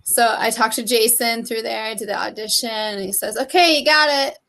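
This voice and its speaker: A woman in her thirties talks casually as an interview guest. Her voice sits a little high. She swears freely and laughs often. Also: normal speed, vocal fry, fairly bright tone. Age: 30s. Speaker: guest, horror actress and model.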